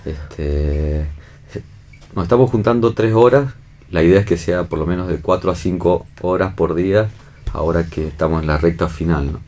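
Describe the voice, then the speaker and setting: Argentine guy, really deep voice, director explaining stuff casually in an interview. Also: normal speed, darkish tone. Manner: seeks agreement with tag questions. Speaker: theatre and film director being interviewed.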